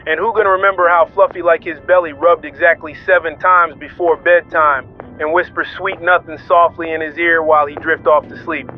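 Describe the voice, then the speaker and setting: A man's voice: a little high, fairly even intonation, a little fast, few pauses, lightly acted. A man playing a swaggering, sentimental pirate radio DJ who talks in melodramatic street slang. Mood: mock heartbreak. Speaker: radio DJ host. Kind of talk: slangy improvised-sounding radio monologue. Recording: phone-like radio band, music behind. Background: music and vehicle noise.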